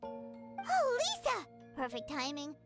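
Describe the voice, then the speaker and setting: A woman voicing a tiny, chirpy, excitable fairy companion with a childlike manner in a video game cutscene. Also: high voice, slow, gravelly, over loud music, exaggerated voice. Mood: surprised, eager. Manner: speaks of herself in third person.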